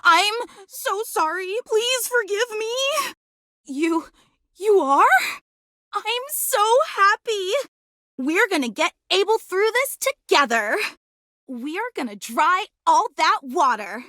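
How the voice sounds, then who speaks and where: A woman playing an excitable, nervous young fangirl in a video game, gushing, flustered and apologetic. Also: very high voice, slow pace, dry recording, studio quality, full character voice. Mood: flustered, giddy. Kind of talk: video game character voice acting.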